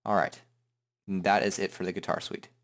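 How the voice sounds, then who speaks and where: A man hosting a software tutorial in an informal, joking, self-deprecating way. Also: low-pitched, flat delivery, brisk, creaky. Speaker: tutorial host. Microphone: headset microphone.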